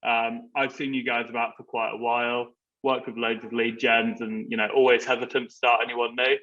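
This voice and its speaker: Bright-toned man, lo-fi audio. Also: medium pitch, flat delivery, brisk. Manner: plain-spoken, recommending earnestly. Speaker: client giving a testimonial. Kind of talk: testimonial.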